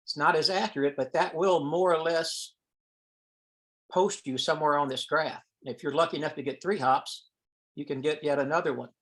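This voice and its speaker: A man with a somewhat high-pitched voice presents technical material on an online teleconference. His delivery is informal and explanatory, like someone talking colleagues through slides. At times he thinks aloud as he goes. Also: fairly even intonation, normal speed, fairly bright tone. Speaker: presenter at an amateur radio science teleconference.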